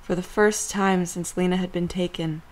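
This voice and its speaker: A woman's voice: fairly low pitch, steady tone, moderate pace. A woman narrator reading a scripted story for an audio drama.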